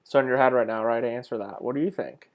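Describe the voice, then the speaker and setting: Man, medium-pitched voice, college instructor, informal lecture narration, conversational, emphatic on key terms. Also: fast talker. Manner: conversational, asks rhetorical questions.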